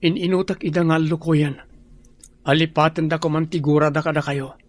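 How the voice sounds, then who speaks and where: Man playing an earnest young radio-drama suitor, higher-pitched. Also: little pitch movement, normal speed, lightly acted. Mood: earnest, pleading. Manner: earnest, respectful. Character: earnest young male student, hopeful peacemaker and suitor.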